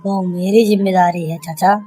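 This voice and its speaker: A woman's voice: on the low side, steady tone, normal speed, warm tone, husky, soft background music. A woman voicing an earnest, reassuring young male suitor in a cartoon story.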